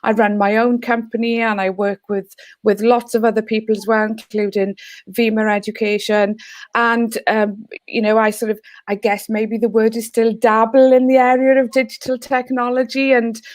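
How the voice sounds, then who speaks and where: A woman's voice: fairly high pitch, level tone, normal speed, dry recording. A Welsh woman whose first language is Welsh, a teacher speaking earnestly on a video call panel. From Wales. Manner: earnest, heartfelt. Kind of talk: online panel talk.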